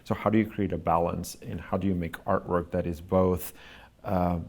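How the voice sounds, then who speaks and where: A man with a low, gravelly voice answers interview questions about his work. He speaks thoughtfully and often pauses to find the right words, building his points slowly. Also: fairly even intonation, darkish tone. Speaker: artist being interviewed.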